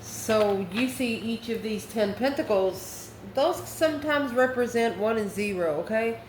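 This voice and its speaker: A woman's voice: on the high side, measured pace. A woman giving a casual, mystical tarot reading, speaking directly and affectionately to the listener as host. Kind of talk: casual spoken tarot card reading to camera. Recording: echoey room, clearly noisy background.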